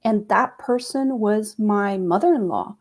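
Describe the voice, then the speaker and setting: A woman from Costa Rica with a mid-range voice tells her personal story as a guest on a live web panel. She speaks briskly and casually, now and then repeating a word. She talks over a video call. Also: medium pitch, darkish tone.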